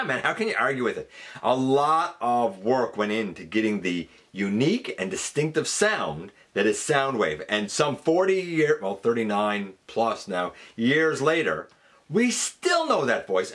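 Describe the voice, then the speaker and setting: A man's voice: mid-range voice, very animated, unhurried, fairly bright tone, slightly rough. A man hosting a fan countdown, giving casual, enthusiastic and emphatic commentary. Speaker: host of a fan countdown show.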